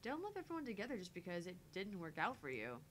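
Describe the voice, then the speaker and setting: Indignant, easily flustered young heroine acted by a woman player for a visual novel; slightly low register, lively inflection, defensive. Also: fast. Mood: earnest, challenging. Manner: defensive, exasperated. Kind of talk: voice-acting visual novel dialogue and inner monologue. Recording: faint background rumble and noise.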